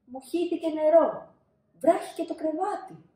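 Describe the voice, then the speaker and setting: Woman doing a high-pitched pleading little boy. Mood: insistent calling. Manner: loud, drawn-out, pleading calls. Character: lively, pestering four-year-old boy calling his dad. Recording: roomy indoor echo.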